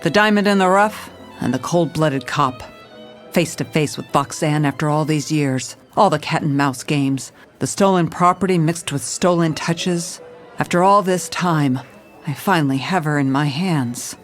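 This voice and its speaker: A woman's voice: deep voice, a little slow, faint music behind. An actress playing a hard-boiled, weary female police commissioner in a noir audio drama, with a wry, clipped delivery. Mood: tense narration. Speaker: narrator and lead character.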